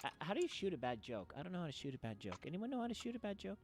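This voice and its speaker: Higher-voiced man telling stories casually. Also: sing-song, rapid-fire, soft background music. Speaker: game streamer. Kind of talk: casual chat, storytelling.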